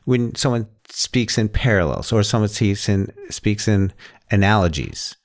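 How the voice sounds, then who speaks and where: A man hosting a casual tech discussion, talking in a halting way and often rephrasing as he explains. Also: lowish voice, lively intonation. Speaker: podcast host.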